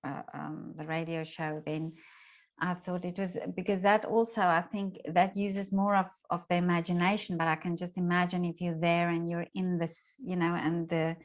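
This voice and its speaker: Low-voiced, chatty woman interviewer. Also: level tone, moderate pace, dull audio. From lives in Vienna, Austria. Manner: chatty, effusive, frequent agreeing interjections. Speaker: interviewer, series host. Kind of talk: casual conversational interview.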